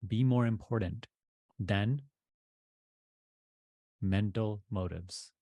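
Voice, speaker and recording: A man with a fairly low voice leads a guided meditation as a teacher. He speaks deliberately, in short phrases set apart by pauses, and the recording is very dry and close, free of room sound. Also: dark tone, no room sound.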